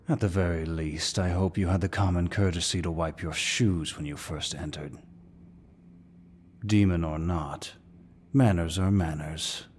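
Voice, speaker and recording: Man voicing a deep, husky, slow-spoken demon lord. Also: darkish tone, full character voice. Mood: condescending. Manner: slow, mocking, mock-courteous, theatrical. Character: suave, dominant, condescending male demon lord. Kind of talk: ASMR roleplay, scripted villain monologue.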